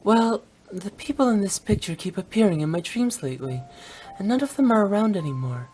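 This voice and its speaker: A woman's voice: mid-range voice, expressive, a little fast, warm tone, faint music behind, close to an everyday voice. A woman playing a young female funeral director in a video game, playful yet blunt and unsentimental, speaking in a frank, matter-of-fact way. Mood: subdued, matter-of-fact. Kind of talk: scripted English video game voice acting.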